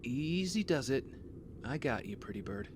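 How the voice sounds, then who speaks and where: A man playing a tender, protective young vigilante in an audio drama, speaking gently and with concern. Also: medium pitch, big pitch swings, normal speed, close to an everyday voice. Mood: gentle, teasing. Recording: clear background noise and ambience.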